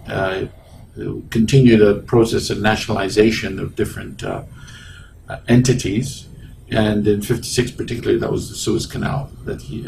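Casting: Egypt-born man in his sixties with Arabic as a first language, low and gravelly voice, halting and full of ums, recorded from a distance with a hiss behind. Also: darkish tone. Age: 60s. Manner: hesitant, many ums and restarts. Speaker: interviewee, engineering professor. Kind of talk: oral history interview. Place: small indoor office. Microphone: lapel mic.

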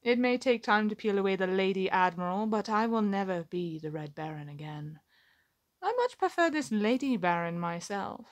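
A woman's voice: medium pitch, lively intonation, normal speed, lightly acted. Woman acting a defiant, wry captive lady admiral. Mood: wry, teasing. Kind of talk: ASMR roleplay acting.

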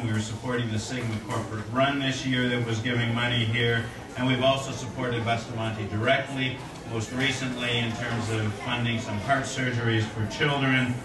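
A man in his forties giving an earnest formal speech at a ceremony, with frequent fillers and restarts, into a stand microphone. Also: medium pitch. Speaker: corporate speaker at a donation ceremony. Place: indoor room at an event. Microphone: stand microphone at a podium. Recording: roomy, distant-miked event audio with noise.

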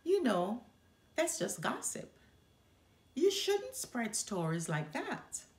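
A woman voicing a gently firm, moralizing mother bear in a measured storybook reading. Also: a little high, huge pitch swings, exaggerated voice. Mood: mild reproof. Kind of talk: children's storybook read-aloud. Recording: roomy indoor echo.

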